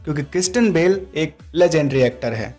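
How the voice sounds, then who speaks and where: A man's voice: a little high, lively intonation, a little fast, darkish tone, faint music behind. A man hosting an informal, opinionated movie breakdown as an explanatory voice-over. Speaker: video host, trailer breakdown narrator. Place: voice-over recording.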